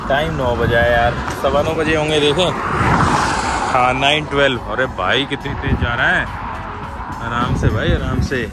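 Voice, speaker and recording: Man chatting over loud music. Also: medium pitch, moderate pace. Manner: chatty, informal, colloquial. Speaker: travel vlogger. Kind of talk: casual road-trip vlog chat. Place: in a car and at roadside stops. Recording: loud music under speech, vehicle noise. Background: loud music, car sounds.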